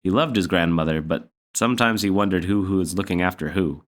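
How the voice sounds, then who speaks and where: A man with a low-pitched voice reads a children's story aloud. He delivers it as scripted storybook narration. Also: a little fast. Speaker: story reader.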